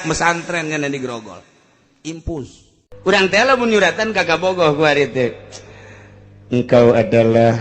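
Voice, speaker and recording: A man's voice: high-pitched, a bit bright. A man with a Sundanese accent giving a humorous storytelling sermon as an Islamic preacher, moving between theatrical mock-romantic recitation and colloquial asides. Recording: echoey hall with long reverb.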